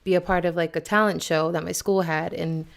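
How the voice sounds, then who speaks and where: Chatty young woman with a smooth voice. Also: lowish voice, fairly even intonation, fairly quick. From Boston, USA. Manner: chatty and rambling, often saying "like" and laughing. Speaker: guest singer. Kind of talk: casual interview.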